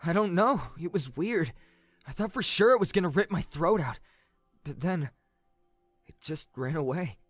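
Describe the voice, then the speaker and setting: A performer playing an earnest, gentle, self-sacrificing young man in an audio drama, with heartfelt delivery. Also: mid-range voice, lively intonation, moderate pace, pauses often, no echo, natural voice. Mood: shaken.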